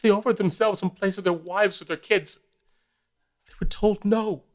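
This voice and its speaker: A man's voice: high voice, fairly even intonation, moderate pace, natural voice. A man playing a middle-aged husband and father in an audio drama, weary, resigned and hesitant. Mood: firm, pained.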